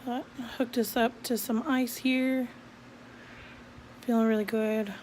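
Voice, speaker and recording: A woman's voice: a little high, little pitch movement, unhurried. Drowsy young woman over fan hum. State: tired after surgery. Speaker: vlogger. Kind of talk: casual video diary. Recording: loud fan hum behind.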